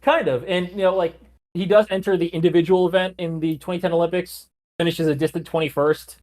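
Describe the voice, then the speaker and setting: A man's voice: sits high, steady tone, a little fast, darkish tone. A man hosting a podcast, casually walking his co-hosts through a story he researched, with plenty of loose "like" fillers. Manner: loose, chatty, frequent "like" fillers. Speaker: podcast host.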